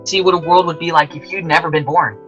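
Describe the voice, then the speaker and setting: An actor playing an earnest, wistful male guardian angel in an old-time radio drama, reasoning gently in a folksy way. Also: a little high, steady tone, quick pace, dull audio, faint music behind, close to an everyday voice. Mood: earnest, gentle.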